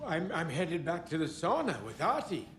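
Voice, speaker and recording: Eccentric old man, high-pitched, swooping, mischievous, video game character, echoey room. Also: normal speed, a little hiss or hum. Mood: gossipy. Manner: excitable, mischievous. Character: excitable, cheeky old man, eccentric care-home resident.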